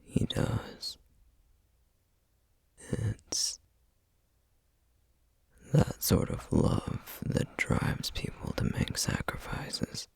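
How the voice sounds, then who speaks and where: A man playing a solemn, guarded, protective flame demon in a whispered ASMR roleplay, speaking formally and hesitantly with a breathy, gravelly voice. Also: medium pitch, a little mellow, no echo, heavily acted. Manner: slow, measured, formal, hesitant.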